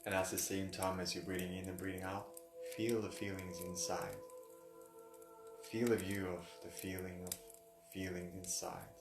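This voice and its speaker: A man with a deep, level voice leads a guided meditation. He speaks non-native English in halting phrases that circle back and repeat. The room echoes around his voice. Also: completely flat delivery, gravelly. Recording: roomy echo, music behind. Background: music.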